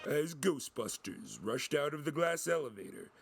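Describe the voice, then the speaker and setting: A man's voice: a little high, lively intonation, moderate pace, vocal fry, over loud music. A man reading scripted dramatic narration as a storyteller.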